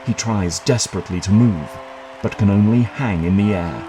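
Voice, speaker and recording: Male narrator for action audio drama: low register, measured, suspenseful, underscored by music.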